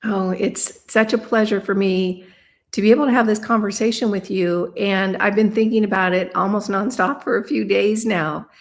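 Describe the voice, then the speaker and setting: A woman's voice: mid-range voice, steady tone, normal speed. Woman hosting a livestream interview. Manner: friendly, conversational. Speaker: host.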